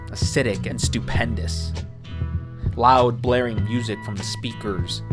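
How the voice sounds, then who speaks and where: A man reading scripted documentary-style narration in a wry, literary manner. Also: mid-range voice. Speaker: narrator of a protest account. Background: music, guitar.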